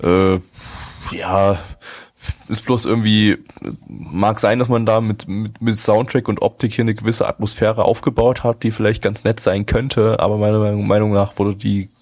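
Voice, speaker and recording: Low-voiced man giving critical reviews. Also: flat delivery, moderate pace, darkish tone. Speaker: co-host of an anime review show. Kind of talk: casual critical review chat.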